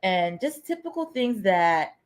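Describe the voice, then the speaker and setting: A woman from the United States, a guest author, introducing herself and pitching her book in a composed, articulate way. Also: sing-song, a little slow.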